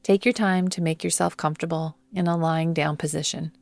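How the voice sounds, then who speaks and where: A woman guiding a yoga nidra meditation in a soothing, measured manner, leaving long pauses between phrases. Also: low-pitched, steady tone, vocal fry, no room sound. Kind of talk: guided meditation narration. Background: background music.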